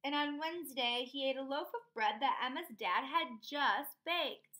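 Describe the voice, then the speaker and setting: A woman's voice: sits high, normal speed, pushed and bright. A young woman reading a picture book aloud expressively, stopping to put questions to her young listeners. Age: young adult. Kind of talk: expressive children's read-aloud. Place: small room indoors at home.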